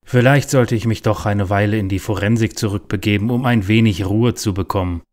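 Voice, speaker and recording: A man's voice: lowish voice, steady tone, a little fast, a little mellow, natural voice. A man playing a paranoid, overwrought conspiracy believer in a parody audio drama. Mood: deflated.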